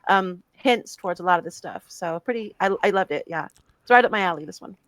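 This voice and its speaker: A woman's voice: lowish voice, fast talker, no echo. Chatty woman podcast co-host, dry close mic. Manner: animated, laughs often, many restarts and fillers. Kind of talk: casual podcast chat about films.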